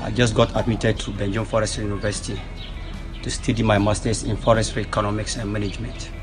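A young man from Ghana, a master's student, introducing himself in a steady, formal way outside a building. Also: fairly low pitch, fast, music in the background. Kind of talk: self-introduction.

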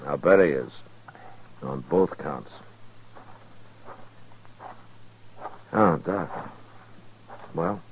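Casting: very low-pitched steady, matter-of-fact western lawman, old muffled radio sound. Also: slow, a little hiss or hum. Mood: easygoing. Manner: terse, weighty, matter-of-fact. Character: steady, principled frontier US marshal, also narrating. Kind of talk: 1950s radio western acting. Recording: dull 1950s radio recording with music.

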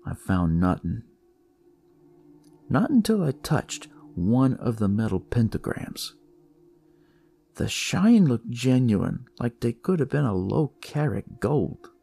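A man's voice: mid-range voice, very animated, takes it slowly, gravelly, warm tone, breathy edge, full character voice. A man voicing a detective who tells his story in the first person, conversational and theatrical, as in a dramatic audio story. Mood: uneasy, wry. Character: male detective recounting a sinister, eerie discovery. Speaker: storyteller narrating in character. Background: music.

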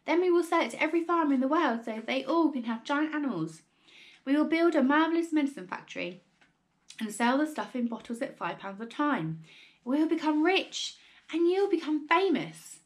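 A woman voicing an excitable, impatient father in a storybook, urgent and insistent, full of grand schemes. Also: on the high side, brisk. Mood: frantic excitement. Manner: breathless exclamations, urgent and insistent.

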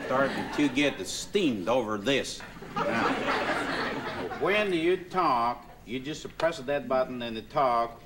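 A man playing a flustered, pompous middle-aged boss in a 1970s TV sketch, fussy and over-explaining, on an old tape with loud hiss. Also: lowish voice. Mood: exasperated. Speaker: exasperated office boss. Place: TV studio office set.

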